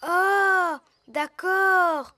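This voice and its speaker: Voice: very high-pitched, fairly even intonation, extremely slow, bright, forceful tone, no room sound, heavily acted. A performer voicing a playful little animal child in a French cartoon dub, eager, earnest and childlike.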